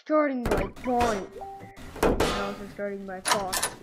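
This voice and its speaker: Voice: medium pitch, unhurried, lightly acted. A performer voicing a murderous, vengeful animatronic bear in an improvised horror game role-play, recorded with some noise. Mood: menacing.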